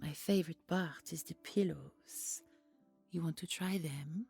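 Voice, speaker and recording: Woman as a languid, creaky griffin queen. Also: sits low, a little slow, a little mellow, no room sound, soft background music, heavily acted. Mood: teasing, syrupy. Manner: purring, mock-sweet, condescending. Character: languid, teasing, regal female griffin queen. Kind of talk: audio roleplay drama.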